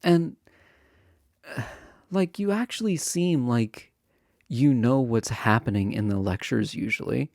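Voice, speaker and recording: Man playing a slow, mellow, flirty college guy. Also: mid-range voice, creaky, no echo, clean studio sound. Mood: friendly, coaxing.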